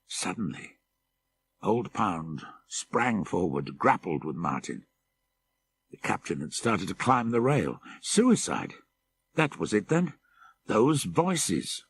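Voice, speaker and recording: Deep, gravelly male horror narrator. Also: big pitch swings, slow pace. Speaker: audiobook narrator. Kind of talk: dramatic scripted narration of a horror story.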